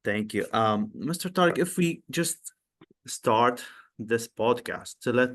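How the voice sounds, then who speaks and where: A man hosting a podcast interview, with a somewhat low voice. He is polite and encouraging, and his questions run long and wind around before landing. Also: fairly bright tone. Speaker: podcast host.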